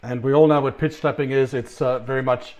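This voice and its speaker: A man's voice: medium pitch, fairly even intonation, a little fast, a little mellow, a little hiss or hum. A middle-aged man with an Australian accent presenting a recorded conference talk from his office, chatty and full of ums and restarts. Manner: conversational, many ums and restarts. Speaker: sales consultant presenter.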